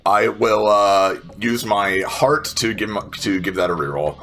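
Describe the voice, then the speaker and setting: A man's voice: fairly low pitch, a little slow, fairly bright tone. A man playing a tabletop game, casually talking through rules and describing what his character does.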